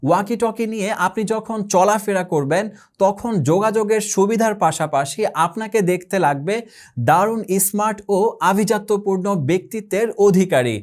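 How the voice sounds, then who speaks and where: Bangladeshi guy doing a promo voiceover, pretty high voice, formal, measured phrases. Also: a little mellow. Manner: formal, enumerating items in short measured phrases. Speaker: advertising announcer. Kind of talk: scripted product promo narration.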